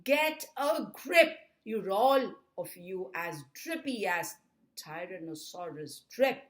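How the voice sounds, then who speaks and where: A woman's voice: lowish voice, expressive, measured pace, vocal fry. A woman voicing a bossy, scornful tyrannosaurus father in a storybook, scoffing and scolding emphatically.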